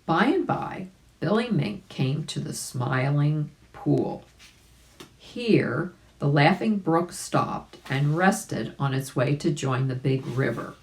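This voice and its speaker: A woman reading a children's story aloud in an expressive way, with chatty asides to young listeners. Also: low-pitched, slow pace. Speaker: volunteer storybook reader.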